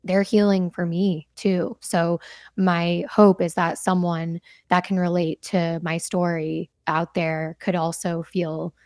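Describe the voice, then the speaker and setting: A woman's voice: fairly low pitch, little pitch movement, takes it slowly, darkish tone, dry recording, studio quality. A young woman chatting earnestly as a podcast guest, laughing easily as she talks about her work. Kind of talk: casual interview chat.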